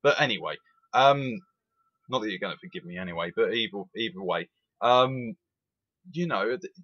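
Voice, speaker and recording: A man's voice: fairly low pitch, moderate pace, lo-fi sound. A man, giving an opinionated, emphatic rant as a rail sim reviewer. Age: 20s. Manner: animated, emphatic, repeats itself.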